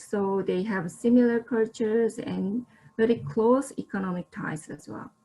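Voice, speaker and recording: Woman from South Korea, young adult, lecture presenter, careful steady delivery, middle pitch. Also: low-quality recording. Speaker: student presenter.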